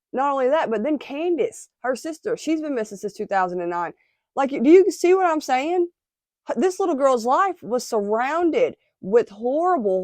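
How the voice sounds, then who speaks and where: A woman's voice: a little high, darkish tone, dry recording, clean studio sound. A woman giving emphatic, casual true-crime commentary, still congested after being sick. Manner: emphatic, repeats phrases.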